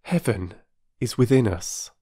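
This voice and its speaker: Reader doing a soft-spoken, earnest, dutiful woman who explains slowly, in a dry close read. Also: slightly rough, no room sound. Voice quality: gentle low voice. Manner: patient, slightly superior explaining. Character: gentle, earnest, dutiful middle-aged woman. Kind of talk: audiobook character voice.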